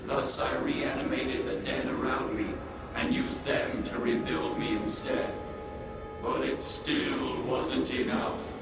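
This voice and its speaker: A man's voice: medium pitch, fairly even intonation, slow. A man playing a sentient supercomputer villain in a comic-book drama, speaking formally and condescendingly in grandiose monologue. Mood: grandiose, lecturing. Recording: heavily echoing, distant, loud music behind.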